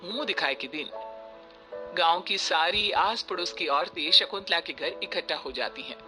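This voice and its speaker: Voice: mid-range voice, moderate pace, low-quality recording. A narrator reading a scripted moral story in a storytelling style. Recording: music bed behind the voice.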